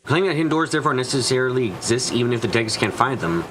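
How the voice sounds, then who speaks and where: A man's voice: medium pitch, expressive, racing, background noise. A man doing a dramatic reading of visual novel dialogue as a streamer, now and then stumbling over words. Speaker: let's play streamer. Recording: music behind the voice.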